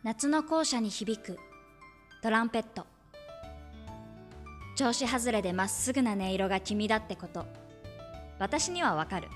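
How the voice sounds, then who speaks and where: A woman plays an earnest teenage schoolgirl with a quiet crush in an audio drama. Her voice is on the high side, and she speaks gently and hesitantly, in an encouraging, youthful way. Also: animated, a little slow, pauses often, soft background music. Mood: tender, wistful. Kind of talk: Japanese audio drama acting with first-person narration.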